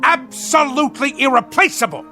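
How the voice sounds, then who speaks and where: A man's voice: very high-pitched, moderate pace, bright, forceful tone, soft background music, heavily acted. A man playing a pompous middle-aged husband obsessed with the navy, speaking in theatrical, florid nautical language in a radio drama. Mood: outraged.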